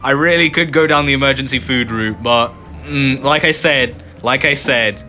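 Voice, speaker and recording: A man's voice: mid-range voice, moderate pace, bright, forceful tone. A man giving casual, self-deprecating commentary as he plays through a video game. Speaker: gamer, channel host. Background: game music.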